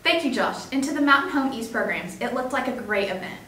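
A woman's voice: a little high, expressive, pushed and bright, roomy sound, far from the mic. A teenage girl anchoring a school news show at a studio desk, reading a script. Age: teens. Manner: brisk read-aloud delivery.

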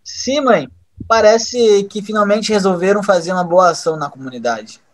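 An actor playing a calm, scheming young man in an audio drama, with a knowing, casual manner. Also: sits high, moderate pace, close to an everyday voice. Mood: innocent.

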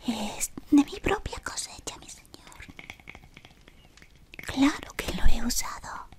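A devoted, fawning servant of a dark lord, whispered by a young woman right up against the mic. The slow, hushed delivery still rises and dips in a lively way, deferential and eager to please. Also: a little high. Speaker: ASMR roleplay creator. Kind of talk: whispered ASMR roleplay. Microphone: close sensitive mic at face level.

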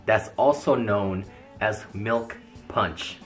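A man's voice: fairly low pitch, slow pace, faint music behind. A young man chatting casually and playfully with his partner at home while they eat. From Indonesia. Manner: easygoing, playful, lively intonation. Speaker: vlog co-host, partner. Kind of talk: casual chat. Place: home kitchen and living room, indoors.

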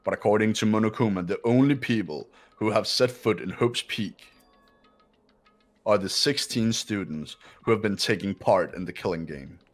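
Guy doing a snooty cold rich kid, deep-ish voice, talks down to everyone, anime game. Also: moderate pace, soft background music, close to an everyday voice. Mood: cold, condescending. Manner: condescending, clipped, aloof. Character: haughty, cold, rich teenage boy. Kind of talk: amateur visual novel voice dub.

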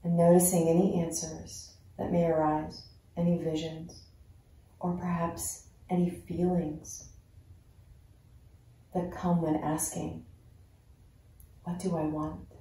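A woman leading a guided meditation in a soothing, unhurried manner with long pauses between phrases. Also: on the low side, level tone, dark tone, slight background noise. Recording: very echoey, reverberant sound.

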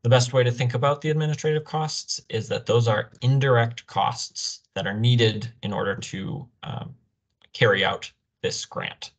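A man's voice: medium pitch, moderate pace. A young man explaining a program as a presenter in an online orientation, speaking over a video call. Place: indoor room, on a video call. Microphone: video call microphone.

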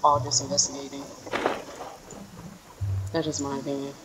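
A woman's voice: extremely low pitch, completely flat delivery, moderate pace, background noise. A young woman giving blunt, offhand, casual reaction commentary while streaming a video game. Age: young adult. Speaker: gaming streamer. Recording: game music behind voice. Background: game music.

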